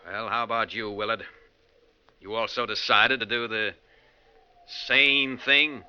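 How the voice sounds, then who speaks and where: A level-headed but suspicious man, with a fairly low, very sharp-edged voice. He is curt and deliberate, in 1940s radio mystery style, on a muffled recording. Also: fairly even intonation, clear, resonant tone. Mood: reasoning, pointed.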